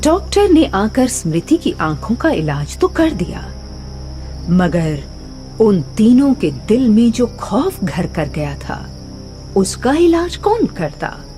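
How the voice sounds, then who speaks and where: Female horror narrator, unhurried, mellow tone, dramatic scripted storytelling. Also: on the high side.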